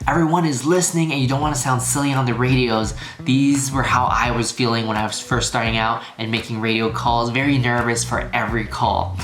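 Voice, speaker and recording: A man's voice: medium pitch, fairly even intonation, a little fast, fairly bright tone. A man giving a casual, informal tutorial as a video instructor, repeating key phrases for emphasis. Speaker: flying instructor, video host. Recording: roomy echo, music behind. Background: background music.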